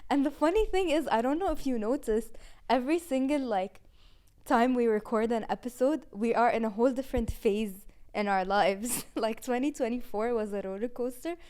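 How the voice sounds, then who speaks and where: A woman in her twenties, a podcast host, talking casually and warmly about finishing a season, with frequent "like"s. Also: a little high, moderate pace, clear, resonant tone. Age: 20s. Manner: casual, chatty with many "like"s and laughs. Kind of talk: heartfelt season-finale reflection.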